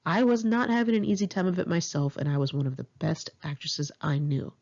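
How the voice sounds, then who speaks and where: An American woman narrating her own first-person memoir as an audiobook, in an expressive, confessional storytelling manner. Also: low-pitched, fairly quick, lo-fi sound. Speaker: author reading own memoir.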